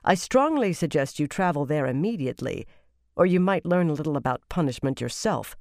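A narrator voicing a stern woman commander, mild-voiced but firm and quietly menacing, in a fantasy audiobook. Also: medium pitch, animated, brisk, dry recording, clean studio sound, natural voice. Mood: restrained anger.

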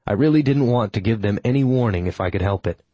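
A man narrating a fantasy novel in the first person, telling the story in a steady, even way. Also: on the low side, fast, dry recording, lo-fi sound. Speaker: audiobook narrator.

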